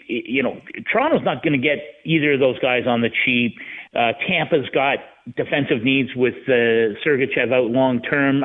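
A man giving casual sports analysis as a guest over the phone, rambling and halting as he thinks. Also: mid-range voice. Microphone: phone call. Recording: tinny narrow phone line.

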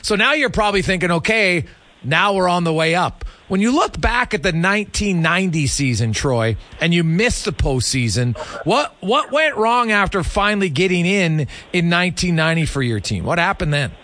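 A man's voice: sits high, very animated, normal speed, bright, forceful tone, slight background noise. A man hosting a casual radio sports interview, asking questions in a chatty, informal way.

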